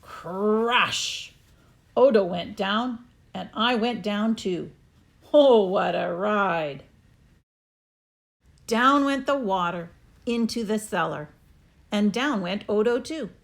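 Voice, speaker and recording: A woman reading a children's story aloud expressively, with deliberate, dramatic emphasis. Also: medium pitch, vocal fry. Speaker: storybook reader.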